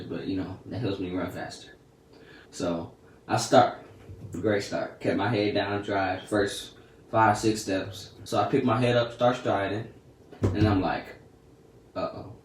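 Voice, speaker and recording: Teen guy chatting to camera, kinda low voice, sounds far from the mic w/ some hum behind. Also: reverberant. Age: teens. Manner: laid-back, slangy and chatty. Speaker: vlogger. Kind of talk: casual storytelling to camera.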